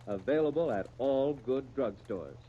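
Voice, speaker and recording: Mellow, lively 1930s male radio pitchman. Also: normal speed. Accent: American. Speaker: radio commercial announcer. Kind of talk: 1930s scripted radio advertising. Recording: muffled, noisy 1939 radio broadcast.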